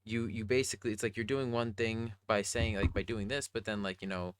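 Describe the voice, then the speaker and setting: Young man, low voice, slight rasp, dry close sound, rambling earnest video diary. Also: steady tone, brisk, dry recording. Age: young adult. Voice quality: slightly raspy, breaking voice. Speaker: vlogger. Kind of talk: casual confessional video diary talk.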